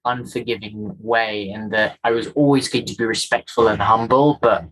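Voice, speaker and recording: A man from Kenya with a softened Kenyan accent, a guest telling his story in an interview with earnest, emphatic repetitions. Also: lowish voice, steady tone, normal speed, rough recording.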